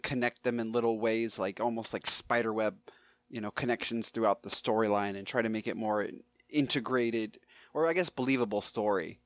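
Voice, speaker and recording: A man's voice: medium pitch, fairly bright tone, no echo, dull audio. A man co-hosting a podcast in a loose, casual chat style. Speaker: podcast co-host.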